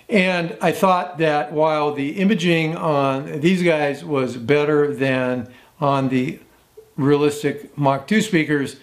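A man in his sixties hosting a casual, unscripted product review in a matter-of-fact way. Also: fairly high pitch. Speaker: host of vintage audio review.

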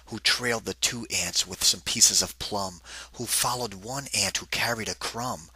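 A man giving an interactive children's read-aloud in a deliberate, singsong rhythm, like a teacher with a picture book. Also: on the low side, pushed and bright, slightly rough, no echo.

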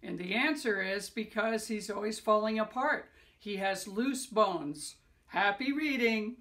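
Slow-talking older woman. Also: medium pitch, a bit bright. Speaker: children's author. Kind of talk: casual trivia question to kids.

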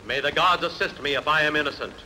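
A man's voice: a little high, fast talker, pushed and bright. An actor dubbing a bold warrior hero in a sword-and-sandal film, declaiming earnestly as he demands justice. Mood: earnest, resolute. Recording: noisy dubbed film soundtrack.

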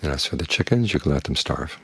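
An older man, a Buddhist monk, giving a calm, plain-spoken evening dharma talk that leans on homely images. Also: rumbling low, fast, creaky, husky, dry recording.

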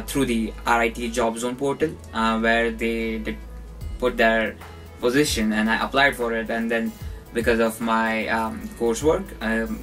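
A man in his twenties answers interview questions in a medium-pitched voice. He is a little far from the microphone, with soft music underneath. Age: 20s. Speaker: graduate student interviewee. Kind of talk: interview testimonial. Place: small cluttered office. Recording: distant mic, faint music underneath.

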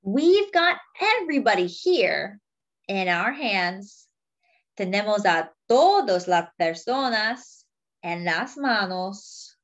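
A woman's voice: mid-range voice, highs cut off. A woman reading a picture book aloud to young children, in simple phrases as a children's storyteller.

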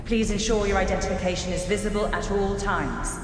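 A woman's voice: mid-range voice, steady tone, fairly quick, over music, close to an everyday voice. A woman voicing an impersonal, formal facility announcement system in a video game. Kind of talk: automated public address announcement in a game. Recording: echoey hall, long reverb, distant speaker.

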